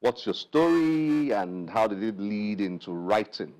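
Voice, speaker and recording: A man hosting a book interview, asking halting questions with restarts and short affirmations. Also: fairly low pitch, expressive, a little mellow, rough recording. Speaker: talk show host.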